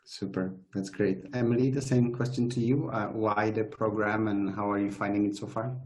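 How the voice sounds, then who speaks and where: A man hosting an online community interview over a video call, asking questions with frequent pauses and restarts. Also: fairly low pitch, fairly even intonation, a little mellow. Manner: friendly, hesitant, many fillers and restarts. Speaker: community host.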